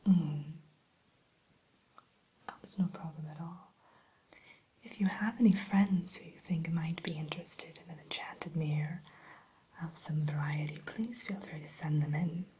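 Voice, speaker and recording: A woman playing a polite, primly formal evil queen shopkeeper in a soft, unhurried ASMR whisper. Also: low-pitched, steady tone, poor audio. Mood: courteous. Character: genteel evil queen running an enchanted mirror shop. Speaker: shopkeeper character in ASMR roleplay.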